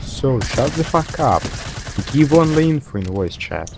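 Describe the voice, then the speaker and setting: A man talks bluntly and irritably in game voice chat, swearing freely. His pace is slow and his pitch very lively, with clear gunfire noise behind him. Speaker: gaming teammate. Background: video game gunfire.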